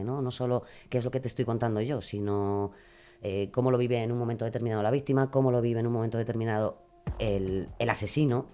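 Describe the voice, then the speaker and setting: A woman from Spain with a notably low voice speaks informally as a guest in an interview. She thinks aloud, stopping and restarting as she rewords her explanations. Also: dark tone. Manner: halting, thinking aloud, self-correcting. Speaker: podcast creator and guest.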